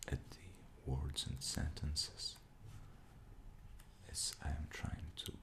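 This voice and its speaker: A man whose first language is Russian, whispering softly in an unhurried ASMR show-and-tell, pausing often with fillers. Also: extremely low pitch, monotone, vocal fry. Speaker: ASMR creator.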